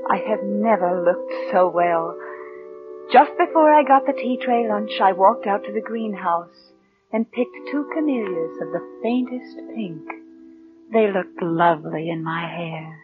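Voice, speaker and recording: A woman plays a young female killer in a 1940s radio play. She speaks with cold, confessional confidence at a middling pitch. The old recording is dull, with music clearly behind her. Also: animated, measured pace, natural voice. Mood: confident, chilling. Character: coldly confident young woman plotting murder, narrating her crime.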